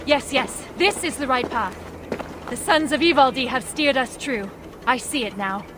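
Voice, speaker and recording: A woman playing a brisk, stubborn, sharp-tongued dwarf rebel in a video game, speaking bluntly and confidently. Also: sits high, noisy background, lightly acted. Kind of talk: conversational video game acting.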